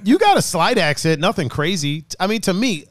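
A man hosting a relaxed podcast, his voice sitting a little high as he jokes around in slang. The sound is close and dry, with no room around it. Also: a little fast, no echo. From another country, raised partly on the East Coast. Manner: animated, laughing, slangy and playful. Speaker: podcast host. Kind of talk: casual chat.

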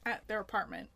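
A woman's voice: fairly high pitch, vocal fry, a bit bright, slightly rough. A woman co-hosting a podcast, chatting casually and recapping a sitcom episode, acting out the characters' lines. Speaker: podcast co-host.

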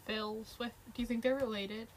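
A woman with a somewhat high voice chats casually as a podcast co-host. She rambles playfully and drifts from thought to thought without much of a plan. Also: steady tone, moderate pace, slight background noise, poor audio. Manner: rambling, playful, jumps between silly topics. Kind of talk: casual chat.

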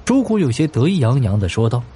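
Male mystery narrator, mid pitch, dramatic and very varied intonation, soft music bed. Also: sing-song, fast talker.

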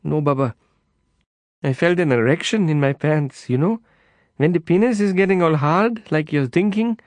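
A man doing a small, good-hearted Indian taxi driver in an audiobook, speaking Indian English with a sing-song, childlike earnestness. The voice sits high and bright above the narrator's, recorded close and dry with no room sound. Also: normal speed, no echo, natural voice. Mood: earnest pleading.

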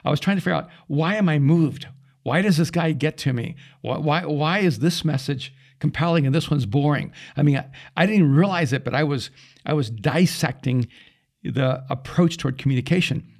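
A middle-aged man from El Salvador, a pastor whose first language is Spanish, telling animated stories in casual conversation. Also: fairly high pitch, a little fast. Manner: animated storyteller with quoted asides. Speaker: pastor and podcast guest.

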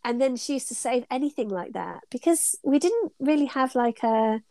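A woman's voice: sits high, lively intonation, moderate pace, warm tone, no echo. A middle-aged British woman with a cold, sounding stuffed up as she chats as an interview guest, telling rambling stories full of ums and laughs. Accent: British English. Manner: chatty, rambling storyteller with frequent ums and laughs. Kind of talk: casual conversational interview.

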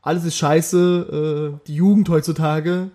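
A man's voice: fairly high pitch, fairly even intonation, unhurried, dark tone. A man co-hosting a podcast, chatting casually and jokingly, often trailing off mid-sentence. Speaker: podcast co-host. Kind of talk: casual chat.

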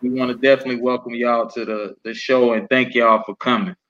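A man co-hosting a podcast, introducing guests in a relaxed, casual way. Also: mid-range voice, a little fast, a little hiss or hum. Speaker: podcast co-host. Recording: dull lo-fi line.

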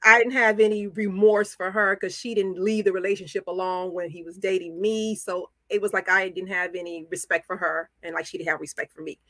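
A woman telling stories candidly and casually as a podcast guest, acting out the dialogue she quotes in an animated way. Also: medium pitch, a little fast, hardly any pauses, no room sound. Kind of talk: casual, candid storytelling in conversation.

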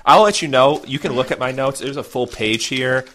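A man's voice: medium pitch, moderate pace, fairly bright tone. A man co-hosting a casual podcast, wry and teasing, with dry jokes. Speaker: podcast co-host.

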